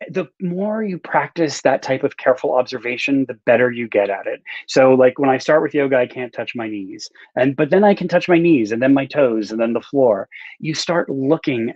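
A man's voice: medium pitch, darkish tone, no echo. A middle-aged man chatting casually and self-deprecatingly as he answers interview questions in a rambling way over a video call. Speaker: author and writing teacher. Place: small book-lined room indoors, on video call. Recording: dull lo-fi video-call audio.

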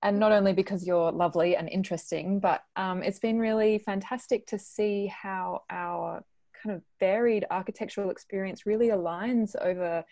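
A woman's voice: medium pitch, fairly even intonation, normal speed, warm tone, dry recording, studio quality. A woman in her thirties giving a casual introduction as a guest on an online panel. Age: 30s. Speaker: guest speaker, conversation partner. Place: living room at home. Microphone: wired earphone mic on video call.

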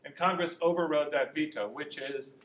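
A man in his sixties speaking in a fairly high voice as he gives a conversational lecture. He is picked up from across an echoey classroom, with a faint hiss behind him. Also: moderate pace, a bit bright, distant mic. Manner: conversational, gestures while talking, some restarts. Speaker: guest speaker, lobbyist. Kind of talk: informal lecture to an audience. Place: university classroom. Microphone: far camera, no mic.

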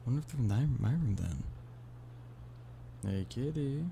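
A man's voice: medium pitch, normal speed, creaky. A man playing an affectionate, playful boyfriend in an ASMR roleplay, teasing and pleading in an intimate one-sided conversation. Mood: searching, affectionate. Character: playful, affectionate human boyfriend coaxing a stubborn cat-person. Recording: steady background noise of rain. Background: rain.

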